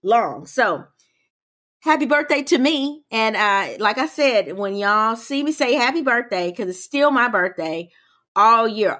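Chatty woman in her forties, lively pitch. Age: 40s. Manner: chatty, emphatic, addresses listeners as y'all. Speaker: podcast co-host. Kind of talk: casual chat.

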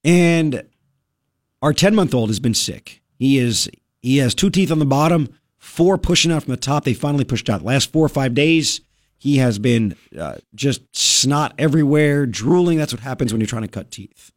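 A male radio host telling a story in casual talk-radio style, animated and full of quick asides. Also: mid-range voice, no room sound, studio quality.

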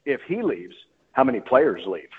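Husky, emphatic man on sports radio. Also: medium pitch, sing-song, normal speed, phone-quality sound. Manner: emphatic, repeats and rephrases himself. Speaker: sports play-by-play announcer, radio guest. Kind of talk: sports talk radio interview.

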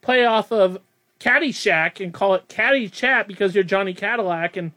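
A man's voice: sits high, moderate pace, sharp tone. A young man hosting a casual podcast, rambling and self-deprecating, laughing often. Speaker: podcast host.

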